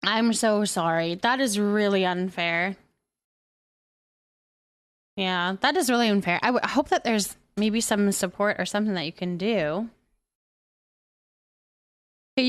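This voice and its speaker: A woman hosting a live stream, chatting casually with viewers in an animated, expressive, sympathetic and informal way as she reads and answers their comments. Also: mid-range voice, measured pace, pauses often.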